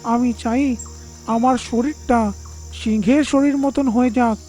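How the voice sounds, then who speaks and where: Woman as a high, mellow, sly fox. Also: steady tone, unhurried, faint music behind, close to an everyday voice. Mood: pleading. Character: sly, self-pitying fox who craves power.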